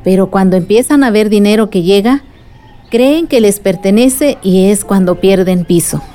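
Actress as mellow-voiced, patient village storyteller. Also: female voice, medium pitch, normal speed, soft background music, lightly acted. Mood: patient storytelling. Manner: earnest, patient, explanatory. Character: patient adult explaining village struggles to a child. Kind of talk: radio drama acting.

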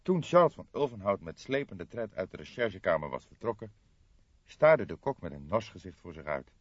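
A man with a lowish voice narrates a crime audiobook expressively. The sound is very dry and somewhat dull, like a modest lo-fi recording. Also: very animated, brisk, breathy edge, no echo.

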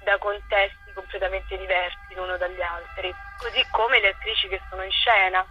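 A woman's voice: medium pitch, steady tone, normal speed, soft background music. A woman theatre director being interviewed over the telephone, explaining her work earnestly. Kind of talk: phone interview about own work. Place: phone call to a broadcast. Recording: tinny, echoey telephone line.

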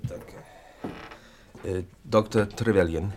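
A man in a German radio play, playing a secretive, rough man of about forty who speaks haltingly and tersely. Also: fairly low pitch, a little mellow, a little hiss or hum. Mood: guarded, polite. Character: secretive, rough fortyish foreign son, guarded and wary. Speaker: actor in a radio drama.

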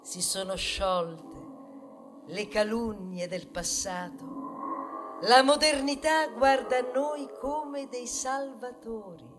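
A woman playing a proud male bioplastic bag, delivering a solemn, grandiose stage monologue with slow, deliberate phrasing over music. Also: fairly high pitch. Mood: grave, solemn. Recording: music behind the voice.

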